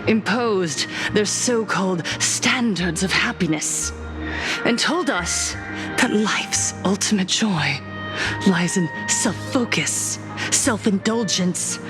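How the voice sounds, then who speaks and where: An actress playing a fervent woman cult preacher in a game cutscene, impassioned and sermon-like, sinking to whispers, with music behind her. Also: lowish voice. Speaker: cult leader preaching to a crowd.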